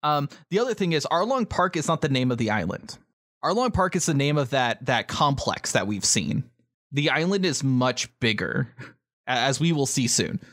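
A man's voice: mid-range voice, very animated, moderate pace, fairly bright tone, dry recording, studio quality. A man co-hosting a podcast in casual chat, explaining and prompting his partner, often checking in as he goes. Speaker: podcast co-host.

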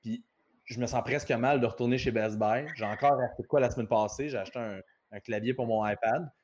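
A man's voice: mid-range voice, steady tone, quick pace, a little mellow, muffled recording. Rambling Quebec man hosting a podcast. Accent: Quebec French. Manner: talkative, rambling anecdotes. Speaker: podcast host. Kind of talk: casual business podcast chat.

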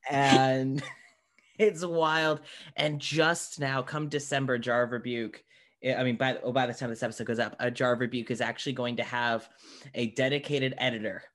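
A man's voice: medium pitch, steady tone, normal speed, a bit bright. A man hosting a podcast, chatting casually with a wry, self-deprecating manner. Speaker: podcast host. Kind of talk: casual interview chat.

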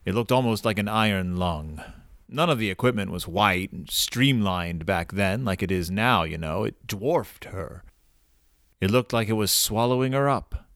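An elderly grandfather in an audio drama, with a lively, wide-swinging delivery. He is courteous and old-fashioned, kindly but gruff and a little wistful, recorded dry and close. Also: on the low side, normal speed, no room sound. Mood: wistful, reflective. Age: older.

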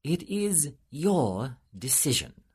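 A man doing a weary, gentle angel. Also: medium pitch, husky, heavily acted. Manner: calm, measured, softly solemn. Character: weary, patient male angel, solemn and gentle.

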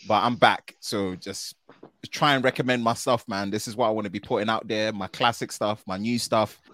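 A man from the United Kingdom, a tech video creator guesting on a podcast, talking in an animated, slangy way and often ending phrases with "man.". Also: on the low side, moderate pace, a little hiss or hum. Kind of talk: casual podcast conversation.

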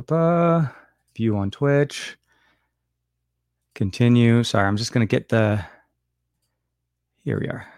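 A young man from Lebanon hosting a casual livestream from home. He rambles and restarts his sentences often, and his voice sits at an ordinary middle pitch. The sound is dry and close, with little room reflection. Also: no echo. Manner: rambling, halting, with frequent restarts and fillers. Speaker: livestream host.

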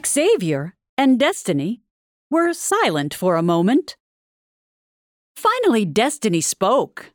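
A woman narrating a futuristic fairy tale in an audio drama, with a measured storybook delivery. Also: on the high side, wildly swooping pitch, slight background noise, heavily acted. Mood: storytelling.

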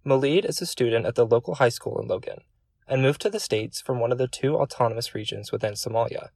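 A man's voice: medium pitch, quick pace, darkish tone, dry recording. Male narrator, scripted intro, dry close sound. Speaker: podcast narrator.

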